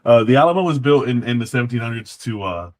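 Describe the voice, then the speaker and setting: A man's voice: mid-range voice, expressive, quick pace. A man speaking as a guest, casually explaining history in an informal way, feeling his way through what he remembers. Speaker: guest, former Alamo guard.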